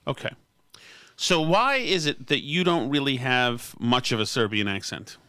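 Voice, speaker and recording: A man's voice: mid-range voice, animated, a little slow, bright, forceful tone, smooth, no echo, clean studio sound. An American man hosting a podcast in casual political chat, with a sardonic manner. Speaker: podcast host.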